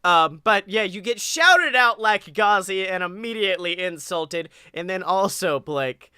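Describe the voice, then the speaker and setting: A young man co-hosting a podcast in casual comedic chat, animated, joking and freely swearing. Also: sits high, measured pace, clean tone, dry recording, clean studio sound. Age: young adult. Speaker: podcast co-host. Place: bedroom-like room at home, video call.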